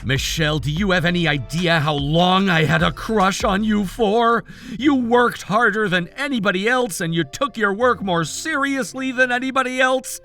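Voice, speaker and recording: A man's voice: high-pitched, normal speed, bright, forceful tone, soft background music. A man playing an earnest, indignant husband in dramatized story dialogue, with emphatic delivery. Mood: earnest, smitten.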